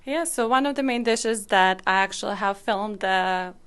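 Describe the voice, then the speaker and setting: A woman's voice: mid-range voice, normal speed, a bit bright, clear, resonant tone. A young woman from Ukraine whose first language is Ukrainian, co-hosting a casual podcast in an upbeat way with small grammar slips. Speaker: podcast co-host.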